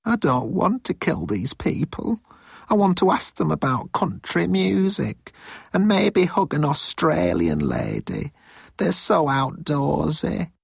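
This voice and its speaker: High, miserable young alien underling. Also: male voice, huge pitch swings, takes it slowly, vocal fry, breathy edge, no room sound, studio quality, exaggerated voice. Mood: wistful. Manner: miserable, anxious, blurting protests. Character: timid young alien crewman pleading with his father.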